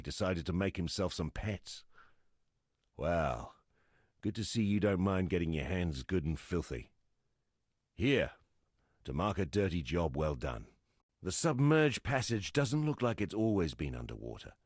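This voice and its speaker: An actor playing a gruff, weary exile fighter in a fantasy video game, a plain-spoken, wry camp leader with a gravelly voice. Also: male voice, low-pitched, no echo, studio quality. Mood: wry approval. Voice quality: gravelly, husky. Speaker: non-player quest character.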